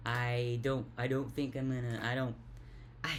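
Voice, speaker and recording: Deep-voiced tired young woman, halting. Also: little pitch movement, heavy background noise. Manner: halting, stuttering, repeats words. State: tired, worn out. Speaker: vlogger. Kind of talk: casual confessional monologue.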